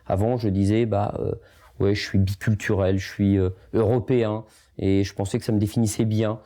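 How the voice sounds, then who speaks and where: A man's voice: lowish voice, flat delivery, moderate pace, warm tone. A middle-aged man born in Portugal, speaking reflectively in an interview with many hesitations and restarts. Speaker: documentary interviewee.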